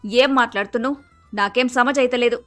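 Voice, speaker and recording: A woman's voice: fairly high pitch, a little fast, faint music behind, lightly acted. A woman playing a bossy, grumpy middle-aged mother-in-law with a Telangana Telugu accent, blunt and scolding, in a story cartoon. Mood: exasperated, scolding.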